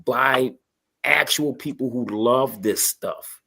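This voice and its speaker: A man chatting casually and enthusiastically, pitching with emphasis and slang and often checking that listeners follow. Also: takes it slowly, gravelly, sharp tone, breathy edge. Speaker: guest promoting a toy radio station.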